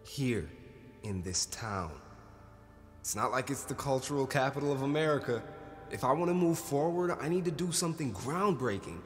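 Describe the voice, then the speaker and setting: An actor playing a restless, ambitious and callous young grad student in a stage drama. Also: male voice, medium pitch, expressive, moderate pace, close to an everyday voice. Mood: restless, determined. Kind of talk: stage-play dialogue acting. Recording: reverberant hall, music behind.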